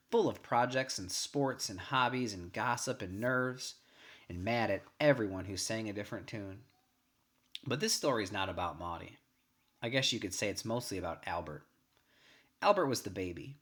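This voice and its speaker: A man's voice: medium pitch, a bit bright. Man reading a short story aloud. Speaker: story reader. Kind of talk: scripted narration of a short story, first person.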